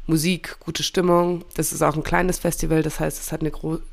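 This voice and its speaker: A woman's voice: low-pitched, little pitch movement, normal speed, creaky. Young woman host with a cold. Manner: chatty, laughs often, self-correcting. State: has a cold. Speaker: podcast host. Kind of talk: casual chat.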